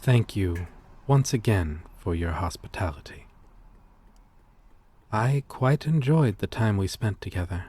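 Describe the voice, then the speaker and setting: A man playing a courteous, lonely vampire in an ASMR roleplay, speaking softly and formally with hesitant pauses. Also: lowish voice, animated, husky. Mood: courteous, fond. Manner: soft-spoken, formal, polite, hesitant pauses. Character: gentle, courteous old-souled male vampire, lonely former fisherman. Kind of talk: ASMR roleplay audio drama.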